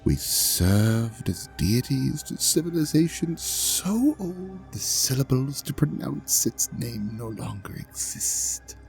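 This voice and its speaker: Slow, drawling ancient god, played by a man. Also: medium pitch, very animated, vocal fry, a little mellow, faint music behind, exaggerated voice. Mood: boastful, languid. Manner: theatrical, pompous, drawling, mock-courteous. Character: ancient vain male dragon god, suave, hypnotic, menacing host. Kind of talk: scripted audio drama monologue addressed to the listener.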